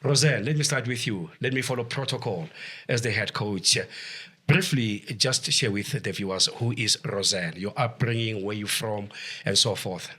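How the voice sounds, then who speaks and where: Male host, bright husky voice, lots of "okay" and fillers. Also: lowish voice, normal speed. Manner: many filler sounds and short okays. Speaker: show host. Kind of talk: studio sports interview. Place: studio.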